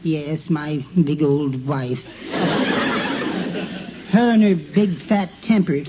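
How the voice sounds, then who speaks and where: An actor playing a meek, prim, henpecked little husband in a 1950s American radio comedy. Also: male voice, fairly high pitch, animated, takes it slowly. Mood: meekly aggrieved. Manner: prim, genteel phrasing. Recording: dull, noisy 1950 radio recording.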